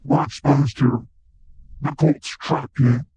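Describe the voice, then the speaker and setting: A man's voice: steady tone, slow pace, warm tone, husky, lo-fi sound. A man voicing a grim, menacing cyborg game character in terse, ominous lines, processed with robotic voice effects. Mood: grim, threatening. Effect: robotic cyborg pitch and chorus effects.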